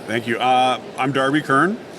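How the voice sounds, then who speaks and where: A middle-aged man gives casual interview answers as a guest. He phrases things haltingly and thoughtfully at a medium pitch. Crowd noise from a convention hall is clearly heard behind him. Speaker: podcast guest, audio drama writer and director. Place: convention booth, live recording. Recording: noisy convention crowd background. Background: crowd ambience.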